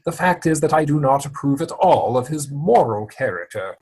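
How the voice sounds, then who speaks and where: A man playing a stubborn, indignant English gentleman guardian in a comic play reading, speaking formally and emphatically. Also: mid-range voice, expressive, normal speed, slightly rough.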